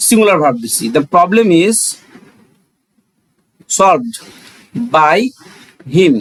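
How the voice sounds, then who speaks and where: High-pitched Bangladeshi male teacher. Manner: didactic, repeats key phrases. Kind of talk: explanatory grammar lesson with rhetorical questions.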